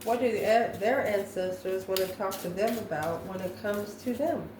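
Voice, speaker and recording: A woman reads tarot cards for her audience, thinking aloud in short, halting phrases. Her mid-pitched voice comes from a little way off the mic in a roomy, echoing space. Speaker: tarot reader, host. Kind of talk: intuitive tarot card reading, talking to viewers. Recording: roomy echo, distant mic, background noise.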